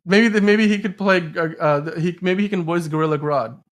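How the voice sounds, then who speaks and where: A man's voice: high-pitched, a little fast. Emphatic, rambling male podcast host. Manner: rambling, emphatic, stumbling over words. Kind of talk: casual film discussion.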